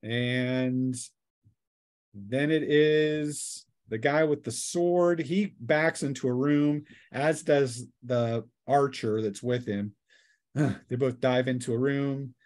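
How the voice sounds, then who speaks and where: A man's voice: mid-range voice, slow, a little mellow. A man narrating a tabletop role-playing game as game master in a casual, conversational way.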